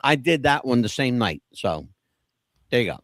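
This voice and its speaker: A man's voice: mid-range voice, very animated, normal speed, a bit bright, no room sound. Chatty man, dry close sound. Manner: chatty, storytelling, self-deprecating. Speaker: podcast co-host. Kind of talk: casual chat about favorite songs.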